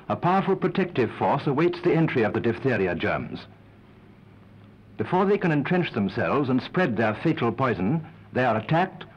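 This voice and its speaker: A British man with a husky voice narrating a 1940s public information film as a grave, formal warning. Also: mid-range voice. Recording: dull 1940s film soundtrack.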